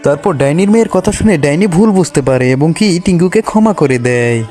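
High-pitched man narrating children's stories. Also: expressive, fairly quick, warm tone, soft background music. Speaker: cartoon story narrator. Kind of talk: scripted children's story narration.

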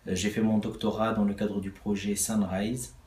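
Tunisian man introducing himself, low mellow voice, halting delivery, echoey office. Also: level tone. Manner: halting, with fillers. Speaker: start-up director. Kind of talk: short self-introduction.

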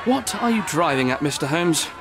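An actor playing a harried, defensive city hall official in a video game, speaking formally and precisely. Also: male voice, fairly high pitch, expressive, a little fast, background noise. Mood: defensive, stiff. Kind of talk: video game cutscene acting.

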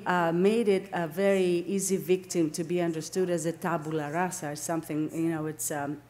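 A middle-aged woman with a Greek accent lectures at an academic seminar. Her voice sits a little low, and she speaks haltingly, often searching for the right word and correcting herself as she explains. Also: flat delivery, a little mellow. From Greece. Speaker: academic lecturer, landscape architect. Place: indoor lecture room, at a lectern.

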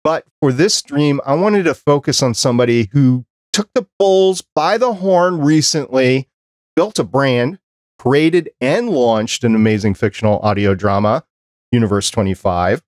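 Male podcast host, fifties, conversational interviewer, mid-pitched, dry close-mic sound. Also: measured pace, no room sound. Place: small home office, indoors. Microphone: close desk microphone on a video call.

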